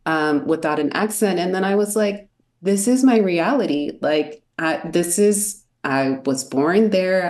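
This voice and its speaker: A woman's voice: deep voice, darkish tone. A woman in her mid-thirties, an educator and podcast guest, speaking in an earnest, reflective way. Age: 30s. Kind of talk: casual interview chat.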